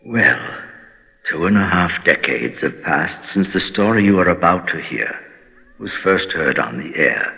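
An actor playing a brooding keeper of horror tales, narrating in a solemn, theatrical old-time radio style. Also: male voice, sits low, gravelly, exaggerated voice. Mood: solemn, eerie. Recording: dull vintage radio, long reverb, faint music.

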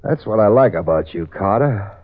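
A man's voice: fairly low pitch, animated, moderate pace. An actor playing a sarcastic hard-boiled male private eye in a 1940s radio drama, narrating and trading dry wisecracks. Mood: wry. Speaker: detective narrator and lead. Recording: tinny old radio broadcast with music.

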